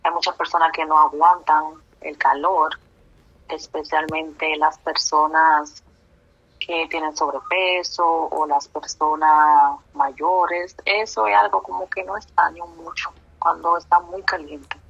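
A woman from the Republic, answering oral history questions slowly, with hesitant fillers. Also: deep voice, flat delivery, vocal fry, a bit bright, breathy edge. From Dominican Republic. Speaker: interviewee. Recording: muffled, dull lo-fi audio.

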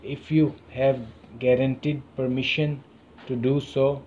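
A man interviewing for a college oral history project, reading a consent form slowly and carefully and then asking questions. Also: mid-range voice, flat delivery, dull audio, a little hiss or hum. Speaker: student interviewer.

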